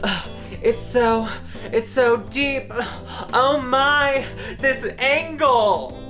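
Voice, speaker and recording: Woman doing a shy young priest's daughter, raised and breathy, timid, pleading, soft guitar behind. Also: high-pitched, lively intonation, drawn-out words, voice pushed up, heavily acted. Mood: breathless.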